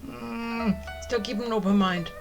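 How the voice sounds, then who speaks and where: A woman's voice: on the high side, steady tone, unhurried, vocal fry, a little mellow. A woman game streamer giving casual, off-the-cuff commentary as she plays. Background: faint game music.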